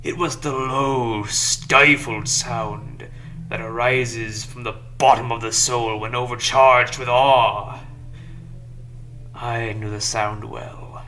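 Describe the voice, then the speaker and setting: A man's voice: mid-range voice, fairly even intonation, slow, pushed and bright, slight background noise. A man playing a nervous, obsessive madman in a theatrical gothic horror reading that moves between whispers and agitated swells. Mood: hushed, sinister. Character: nervous, obsessive madman confessing a murderous night.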